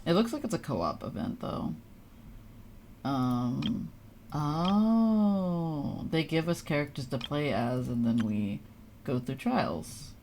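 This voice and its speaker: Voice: mid-range voice, lively intonation, takes it slowly, a little mellow, a little hiss or hum. A young adult live streamer giving casual, informal, self-deprecating commentary while playing a game. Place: indoor live stream with game music behind.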